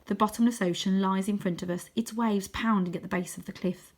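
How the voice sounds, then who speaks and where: A woman narrating a children's adventure book in an expressive, dramatic way, sometimes hushed for suspense. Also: medium pitch, fairly quick. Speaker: story reader.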